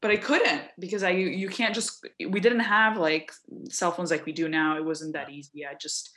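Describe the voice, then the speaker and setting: Young woman from Lebanon, low-voiced storyteller. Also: moderate pace. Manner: animated, quoting people in voices. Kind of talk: casual storytelling.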